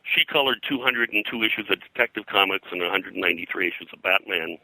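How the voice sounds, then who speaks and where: An older man, a pop culture historian being interviewed, reminiscing in a halting, digressive way full of detail. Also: deep voice, gravelly, sharp tone, dry recording, low-quality recording. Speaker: pop culture historian and comics colorist, guest.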